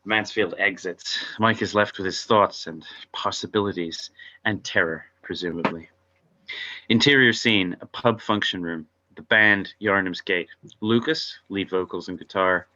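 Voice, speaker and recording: Male narrator, lowish pitch, sharp bright tone, scripted scene directions, table read, lo-fi recording. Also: measured pace. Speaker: narrator reading stage directions.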